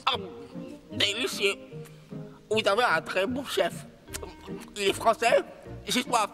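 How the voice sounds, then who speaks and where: A man's voice: sits high, takes it slowly. A man voicing an enchanted frog prince in a children's cartoon, speaking with courteous, formal insistence. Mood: polite, pleased. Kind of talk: children's fairy-tale cartoon acting. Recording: long reverb, music behind.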